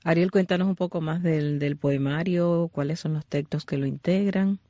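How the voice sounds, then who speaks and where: A man's voice: fairly high pitch, steady tone, moderate pace, mellow, no echo, studio quality. A man interviewing a guest, asking questions and giving short acknowledgments.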